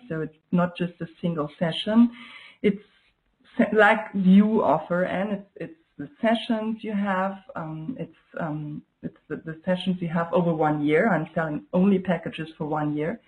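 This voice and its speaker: German-accented woman, halting conversational delivery. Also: on the low side, narrow, tinny audio. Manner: halting, searching for words. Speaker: guest, client giving a testimonial. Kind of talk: casual, reflective conversation.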